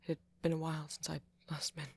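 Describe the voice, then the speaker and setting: A man playing a shy, nervous young vampire in an ASMR roleplay, stammering softly and apologetically with a British accent. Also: fairly high pitch, completely flat delivery. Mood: shy, flustered. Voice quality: soft, breathy, often near whisper. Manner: stammering, halting, flustered and apologetic. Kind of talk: ASMR boyfriend roleplay, intimate acting.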